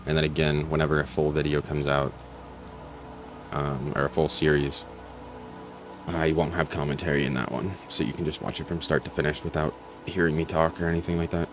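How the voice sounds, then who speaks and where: A man's voice: extremely low pitch, flat delivery, fairly quick, over music. A man giving casual, unscripted commentary as a channel host, in a hesitant, rambling way.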